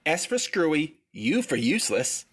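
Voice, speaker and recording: A woman playing a dry, exasperated husband and straight man in an old-time radio comedy, husky and skeptical. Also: deep voice, very animated, moderate pace, heavily acted. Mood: wry. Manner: wry, skeptical.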